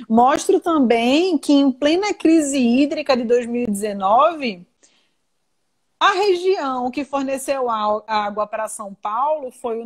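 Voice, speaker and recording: A young woman from Recife with a strong Pernambuco accent, talking as an interview guest in a chatty, animated way with many fillers. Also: high voice. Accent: Pernambuco Portuguese. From Recife, Brazil. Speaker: guest, science communicator and entrepreneur. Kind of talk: video call interview.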